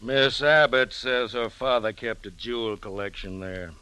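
A man's voice: mid-range voice, a little slow, sharp tone, smooth, no echo. A man playing a skeptical police lieutenant in a 1940s radio drama, giving facts in a clipped, official way. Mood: dry, official. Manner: factual, clipped reporting. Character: matter-of-fact, skeptical male police lieutenant.

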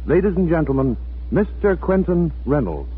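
A man's voice: fairly high pitch, very animated, moderate pace, husky. A man announcing on 1940s radio, reading a formal, solemn scripted introduction. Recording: old 1940s radio broadcast, thin tinny band.

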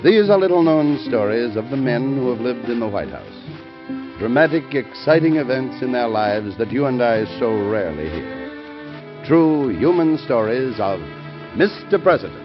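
Old radio show intro guy, big dramatic swings, loud strings behind him, muffled sound. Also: medium pitch, sing-song, unhurried. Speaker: radio announcer. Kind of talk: 1940s radio show opening. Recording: lo-fi old radio recording over loud music.

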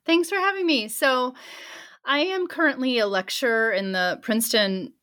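A woman in her thirties, an anthropologist speaking as a guest in an interview, thoughtful and warm, with frequent soft laughs and fillers. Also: high-pitched, normal speed. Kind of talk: interview answers.

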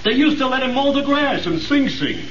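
A man's voice: very high-pitched, big pitch swings, fairly quick, noisy background. An actor playing a dim, sardonic male mobster with slangy, ungrammatical talk, in 1930s radio style. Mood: wry. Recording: muffled 1930s radio, crowd murmur behind.

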